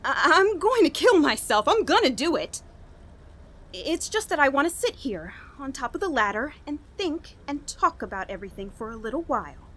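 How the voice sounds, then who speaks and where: A woman playing an unhinged, guilt-ridden killer confessing in a halting, dramatic way in a radio horror drama. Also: high voice, big pitch swings, fairly bright tone. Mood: anguished, brooding. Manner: dramatic, halting, broken by manic laughter. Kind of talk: old-time radio horror drama monologue.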